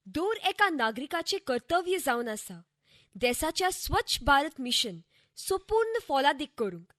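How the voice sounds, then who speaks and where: A woman's voice: high-pitched, sing-song, measured pace, a bit bright, no echo, clean studio sound, full character voice. A woman playing a formal, upbeat company presenter with a Goan accent, giving a speech in a radio play. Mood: formal, proud.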